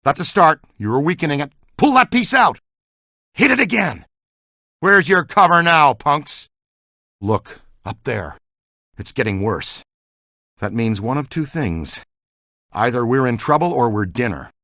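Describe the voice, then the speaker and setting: Streetwise game ghost hunter, bright forceful voice, very varied pitch, dry studio sound. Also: male voice, big pitch swings, measured pace, long pauses, dry recording, heavily acted. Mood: grim. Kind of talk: video game character dialogue.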